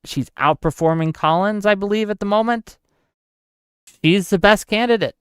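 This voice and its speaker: A man's voice: high-pitched, moderate pace, fairly bright tone, smooth, no echo, clean studio sound. A man in his forties hosting a livestream, chatting casually about politics and reading out questions from his live chat. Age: 40s. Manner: conversational, answers chat questions, thinks aloud. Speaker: livestream host.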